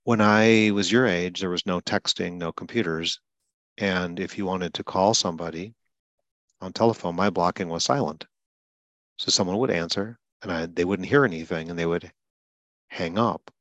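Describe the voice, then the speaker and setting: A low-pitched older man talks in a slow, deliberate, explaining way and stumbles lightly on a word now and then. The sound is dry and close, with no room echo. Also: fairly bright tone. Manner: explains slowly and deliberately, stutters lightly himself. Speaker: speech coach interviewing a client. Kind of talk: calm conversational interview.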